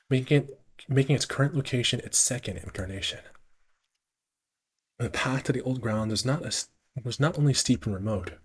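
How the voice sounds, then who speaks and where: A man live streaming, reading visual novel narration aloud in a halting way, often stumbling and repeating phrases. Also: on the low side, slightly rough.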